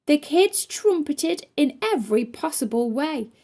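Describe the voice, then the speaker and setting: Female storytime reader: high, relaxed voice, unhurried delivery of rhyming kids' tales. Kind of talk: children's picture book read-aloud in rhyme.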